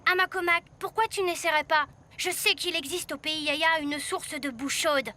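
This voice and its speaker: A woman's voice: sits high, moderate pace, sharp tone, a little hiss or hum. A woman voicing a plucky, kind young boy hero in a cartoon, earnest and determined. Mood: earnest, pleading. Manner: earnest, eager. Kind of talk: French cartoon voice acting. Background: faint music.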